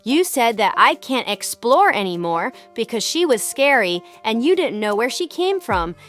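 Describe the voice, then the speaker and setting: A woman's voice: on the high side, big pitch swings, moderate pace, a bit bright. A woman voicing an eager, earnest little boy in an audiobook. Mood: frustrated. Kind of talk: audiobook character dialogue. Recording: music behind the voice.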